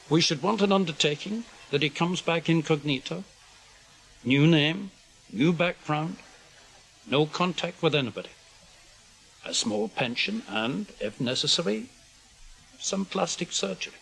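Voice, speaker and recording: An older British intelligence chief in a radio play. His voice sits a little high for a man. He lays things out in short, measured phrases, guarded and weary, like someone carrying a burden of conscience. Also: animated, creaky, fairly bright tone, full character voice, rough recording. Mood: earnest, confessional. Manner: measured, clipped, explanatory delivery in short phrases. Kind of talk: radio drama dialogue.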